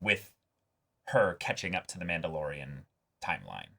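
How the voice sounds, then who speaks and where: A man with a bright, somewhat low-pitched voice talks through his opinions as a co-host. His delivery is casual and conversational, and he often slips into imagined quotes to explain a point. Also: very animated, normal speed, creaky. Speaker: co-host of a TV reaction and discussion show. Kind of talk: casual fan discussion.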